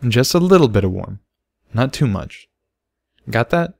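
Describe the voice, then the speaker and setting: A man voicing a patient male unicorn teacher in a storybook, speaking slowly with gentle command. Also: medium pitch, sing-song, vocal fry, no room sound, studio quality, exaggerated voice. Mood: patient, encouraging. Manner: slow, gentle, instructive. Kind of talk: storybook character voice.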